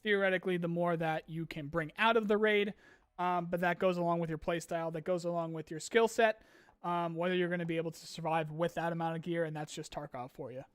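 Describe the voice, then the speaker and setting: A man hosting a casual live-stream Q&A, rambling with many ums and restarts while his voice grows hoarse. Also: on the high side, steady tone, quick pace, dry recording. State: losing his voice, clearing throat. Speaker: streamer host answering chat questions.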